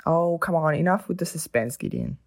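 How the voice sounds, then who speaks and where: A woman's voice: deep voice, huge pitch swings, a little fast, creaky, heavily acted. A woman playing a wry, bossy, impatient member of a hero team in an audio drama. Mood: exasperated. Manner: exasperated, wry.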